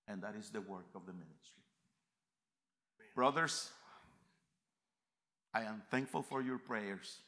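A man from America, preaching a sermon in a church, emphatic and swinging between quiet reflection and loud fervent peaks. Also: lowish voice, a little slow, long pauses. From Central America. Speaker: visiting preacher.

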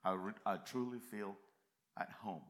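A man from America, preaching a sermon in a church, emphatic and swinging between quiet reflection and loud fervent peaks. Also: on the low side, normal speed. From Central America. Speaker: visiting preacher.

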